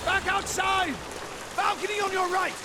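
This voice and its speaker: An actor playing an urgent special operative in a video game firefight, barking terse commands. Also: male voice, very high-pitched, little pitch movement, measured pace, heavy background noise. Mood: urgent, shouting. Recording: loud music and gunfire behind.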